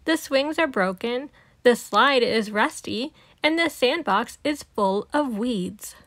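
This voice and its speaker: Female storybook reader, slow and high, animated read-aloud for little kids, dry sound. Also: dry recording. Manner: animated, emphatic on sound-effect words. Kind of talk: expressive read-aloud narration for young children.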